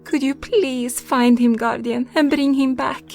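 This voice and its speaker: A woman playing a poor, anxious village mother in a fantasy audio drama, with drawn-out emotional delivery. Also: high voice, steady tone, vocal fry, a little mellow. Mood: pleading. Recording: music behind the voice. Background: music.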